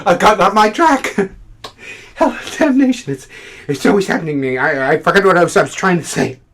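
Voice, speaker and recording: A man performing a theatrical monologue as a fervent, awkward, idealistic literary critic, halting at times and then declamatory. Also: sits high, animated, a bit bright, exaggerated voice. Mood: flustered.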